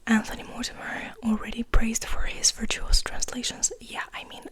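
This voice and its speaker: A woman's voice: fairly high pitch, huge pitch swings, normal speed. Whispering woman, intimate ASMR reading. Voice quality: breathy unvoiced whisper. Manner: intimate, soothing, repeats words softly. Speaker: ASMR host reading poetry aloud.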